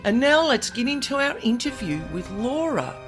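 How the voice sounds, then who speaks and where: A woman hosting a podcast in casual chat, her voice croaky and hoarse from a virus, explaining things at length in an earnest way. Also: sits high, very animated, normal speed, faint music behind. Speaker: podcast host.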